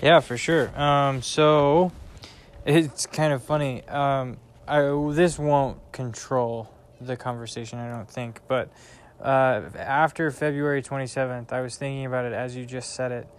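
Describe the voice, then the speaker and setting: Youth pastor, male, slow and thoughtful, smooth clear voice, hesitant. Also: mid-range voice, steady tone. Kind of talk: casual conversation. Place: outdoors in a backyard by a bonfire.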